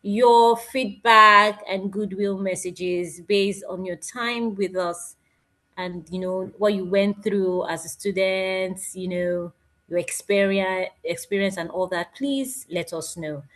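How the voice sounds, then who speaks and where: A woman acts as master of ceremonies, speaking at a medium pitch. Her hosting is polite and informal. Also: fairly even intonation, takes it slowly, fairly bright tone. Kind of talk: informal online event hosting.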